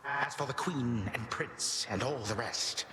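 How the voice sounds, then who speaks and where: A man's voice: mid-range voice, unhurried, natural voice. Echoing, weary old titan played by a man. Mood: grave, commanding. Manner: solemn, archaic, formal phrasing. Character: weary, defeated old titan grandfather, bitterly proud. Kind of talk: dramatic video game voice acting. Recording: echoey hall reverb, noisy background.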